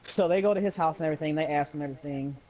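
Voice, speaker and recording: A man's voice: a little high, very fast, darkish tone. A young adult American man casually telling a story to friends in slangy speech, acting out the dialogue as he goes. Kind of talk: casual anecdote among friends. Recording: dull lo-fi voice recording.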